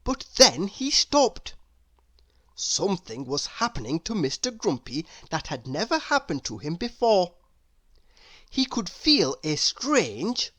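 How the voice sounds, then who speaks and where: A woman narrating a children's story in an expressive, emphatic read-aloud style. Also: lowish voice, no echo. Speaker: storybook reader.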